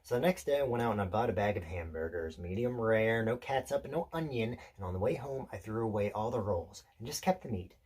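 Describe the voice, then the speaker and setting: A male actor performs an intense, solitary drifter telling a rambling story in a theatrical monologue. The voice sits low and has a husky grain. He confides in his listener with deliberate pauses and small self-corrections, always somewhat unsettled. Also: expressive, darkish tone. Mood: confiding, edgy.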